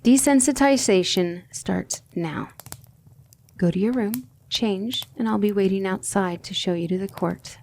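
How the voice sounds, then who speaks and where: A woman playing a gentle but firm demon tutor in an ASMR roleplay, speaking in a soothing, measured and formal way. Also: medium pitch, vocal fry. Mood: firm, stern.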